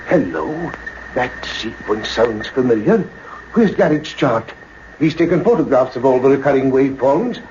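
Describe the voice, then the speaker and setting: An actor playing an eager, excitable male scientist in a sci-fi radio play. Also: mid-range voice, normal speed, lightly acted. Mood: intent, excited. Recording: dull radio recording with background noise.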